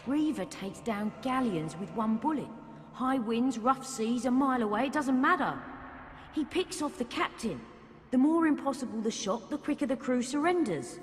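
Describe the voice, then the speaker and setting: A woman's voice: sits high, animated, moderate pace, slight background noise. An actress with a British accent playing a brash, blunt, sarcastic young warrior woman in a fantasy video game. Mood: confident, earnest. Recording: long hall reverb, game music behind.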